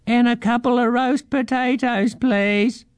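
A man's voice: very high-pitched, fairly even intonation, measured pace, clean tone, no room sound, pitched above the performer's own voice. A man voicing a small, nervous, polite pink mouse in a children's story. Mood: polite, hopeful.

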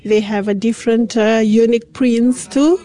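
A craftswoman in an interview clip who talks slowly and repeats her points with pride. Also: on the high side, steady tone, dark tone.

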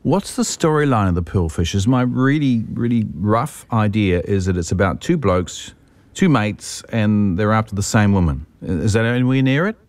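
A man's voice: lowish voice, animated, normal speed, a little mellow, no room sound. A man, a radio host asking curious, conversational questions of a guest. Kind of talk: radio interview.

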